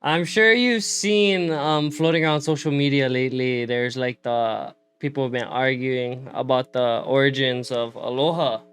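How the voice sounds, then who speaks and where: A man's voice: mid-range voice, fairly even intonation, normal speed, few pauses, fairly bright tone, clean tone. A man hosting a casual interview, easygoing and full of "like" fillers. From Hawaii. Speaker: host.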